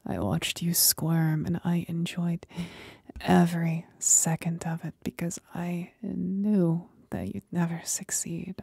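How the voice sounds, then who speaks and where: A woman playing a seductive, mocking demon companion in a whispered ASMR roleplay, speaking breathily with deliberate pauses. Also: fairly low pitch, creaky, warm tone, slightly rough, no echo, studio quality, exaggerated voice. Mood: gloating.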